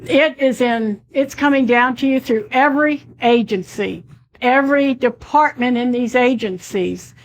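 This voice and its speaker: A woman's voice: on the high side, a little slow, rough recording. A woman losing her voice as she talks at a public meeting in a conversational way, full of fillers.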